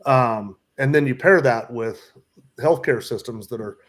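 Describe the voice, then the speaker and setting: A man's voice: mid-range voice, a little slow, slight background noise. A man co-hosting a live stream over a video call, friendly and chatty, often restarting sentences. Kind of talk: casual live-stream chat.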